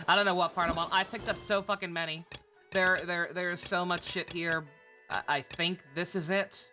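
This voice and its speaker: Female game streamer: lowish, bright voice, casual, sarcastic, sweary off-the-cuff commentary. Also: steady tone, normal speed, faint music behind. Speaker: livestreamer. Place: indoor game streaming setup, game music behind.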